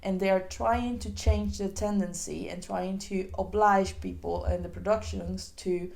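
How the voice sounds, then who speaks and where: A woman co-hosts a podcast discussion in a reflective, conversational manner. Her voice is mid-pitched with a noticeable creak, and she feels her way toward the right words. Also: steady tone, unhurried, darkish tone. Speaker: podcast co-host.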